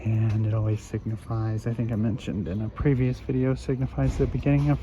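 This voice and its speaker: Hoarse male vlogger, loose filler-heavy street-tour chatter, muffled outdoor phone audio with passing cars. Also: lowish voice, steady tone. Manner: rambling, unhurried, many fillers. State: hoarse, recovering from illness. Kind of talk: walking tour commentary. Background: street traffic.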